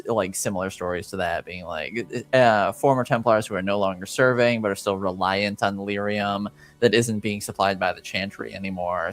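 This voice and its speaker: Man, game master, low-pitched, clear and smooth, hesitant delivery, faint music behind. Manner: halting, hesitant, searching for words. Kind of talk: improvised narration of a tabletop game.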